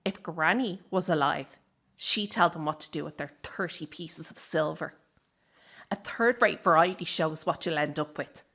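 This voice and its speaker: A woman acting in a radio drama as a bitter, defiant young circus performer, confrontational, sarcastic and quick to swear. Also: sits low, fairly bright tone. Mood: bitter, furious. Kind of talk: dramatic radio play dialogue.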